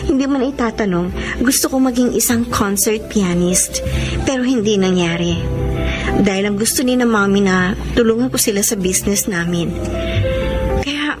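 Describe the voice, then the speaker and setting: A woman's voice: medium pitch, moderate pace, natural voice. An actress playing an outspoken, sociable young woman with a Filipino accent in a radio drama. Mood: earnest. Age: young adult. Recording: music playing behind the voice.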